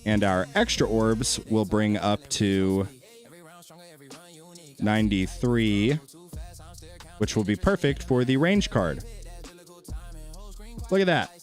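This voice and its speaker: A man's voice: lowish voice, slow, pauses often, faint music behind. A man streaming a game and chatting casually with viewers, laid-back and thinking aloud, with a soothing voice. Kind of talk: casual gameplay commentary with chat.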